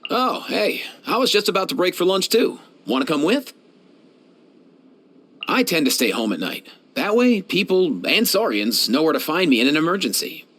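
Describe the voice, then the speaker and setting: A young, caring animal doctor from a fantasy game. His voice sits fairly high for a man and moves up and down with lively intonation. He talks in a laid-back, friendly, casual manner. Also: moderate pace. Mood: easygoing, caring. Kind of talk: scripted video game character voice lines.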